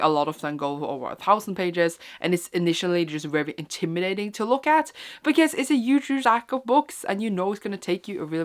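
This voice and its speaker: A woman's voice: lowish voice, a bit bright. A young woman talking casually as a book vlogger, informal and gushing, rambling and correcting herself as she goes. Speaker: book vlogger and readalong host. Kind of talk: casual, chatty vlog talk.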